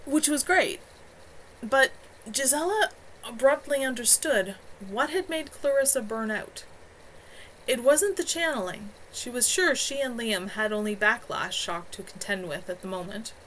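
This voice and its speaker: A woman's voice: on the high side, animated, unhurried, a bit bright, slight background noise, poor audio. A woman author reading her own novel aloud live from home, earnest, with a few small stumbles.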